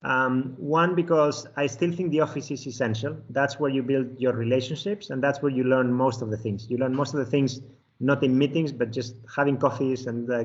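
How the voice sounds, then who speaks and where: A man in his forties from Spain with a Spanish accent, giving relaxed interview answers with frequent chuckles and fillers. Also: medium pitch, dull audio. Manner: chuckles often, many fillers and restarts. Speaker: guest, finance executive.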